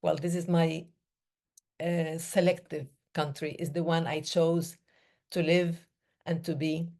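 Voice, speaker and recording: A woman born in the Middle East, giving a reflective talk on breathing in slow, measured phrases with pauses between them. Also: low-pitched, level tone, warm tone. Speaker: breathing day speaker.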